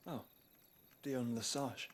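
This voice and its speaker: An actor playing a frail, reflective young prince who speaks in a formal, measured way in a video game cutscene. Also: male voice, mid-range voice, steady tone, slight background noise, close to an everyday voice. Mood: pensive. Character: frail, thoughtful young man of royal rank.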